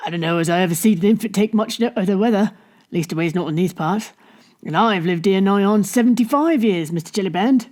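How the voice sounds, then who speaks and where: A woman voicing a doleful old male villager in his seventies with a rural English dialect and a slow, mournful drawl. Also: mid-range voice, a little mellow, natural voice.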